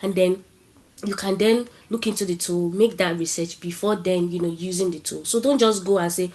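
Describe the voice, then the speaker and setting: A woman's voice: lowish voice, moderate pace, darkish tone. A woman with a Nigerian accent who has a cold, giving a casual talk at an online tech event over a video call and asking the audience questions. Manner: chatty, asks the audience questions.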